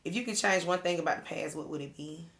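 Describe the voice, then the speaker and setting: A woman in her thirties co-hosting a podcast, rambling in relaxed casual chat and laughing easily. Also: on the low side, quick pace, gravelly. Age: 30s. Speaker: podcast co-host.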